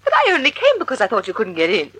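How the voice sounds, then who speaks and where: A woman's voice: fairly high pitch, huge pitch swings, racing, a bit bright, husky, exaggerated voice. An actress playing an elegant, nervous and loyal lady companion to a sleuth in a 1940s-style radio mystery. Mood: playful. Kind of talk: 1940s radio drama acting.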